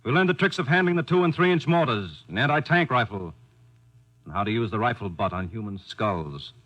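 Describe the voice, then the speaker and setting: A man's voice: mid-range voice, lively intonation, brisk, dull audio, lightly acted. An actor playing an earnest, steadfast young commando, a man narrating in 1940s radio style. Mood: earnest, proud. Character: earnest, steadfast young commando soldier recalling his training.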